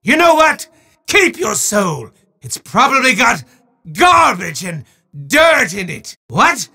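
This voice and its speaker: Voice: medium pitch, big pitch swings, slow pace, vocal fry, husky, full character voice. A voice actor playing a petty, hammy villainous sorcerer who wagers for souls, announcing game events in a melodramatic, bombastic way. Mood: sore loser. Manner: melodramatic, bombastic shouting with sweeping swoops.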